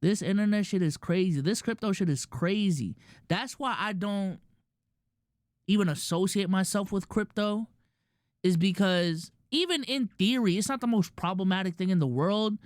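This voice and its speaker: A man's voice: high-pitched, normal speed, no room sound. A male streamer with a Filipino accent, talking loosely to his chat with slang and lots of restarts, close to a dry mic. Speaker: streamer and podcast host. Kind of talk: casual commentary to a live chat.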